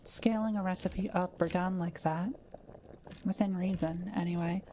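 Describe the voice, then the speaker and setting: A woman playing a kind, quiet witch in an audio roleplay, speaking gently and carefully as she reassures and explains things to the listener. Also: fairly low pitch, fairly even intonation, normal speed, a little hiss or hum, close to an everyday voice. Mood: patient, explaining. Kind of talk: soft-spoken roleplay audio, talking to the listener.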